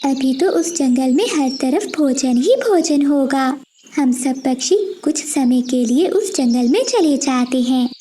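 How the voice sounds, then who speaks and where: A woman's voice: high voice, animated, unhurried, dark tone, slight background noise. A woman voicing a kind little female sparrow, earnest and pleading, in a children's cartoon. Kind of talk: animated children's moral story dialogue.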